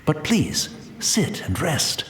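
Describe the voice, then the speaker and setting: A man playing a blind, elderly tea master in a video game, humble and deferential, rambling on in a gentle, wistful way. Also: fairly high pitch, wildly swooping pitch, slow pace, exaggerated voice. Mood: humble, welcoming. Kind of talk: video game character acting. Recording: roomy echo, faint ambient noise.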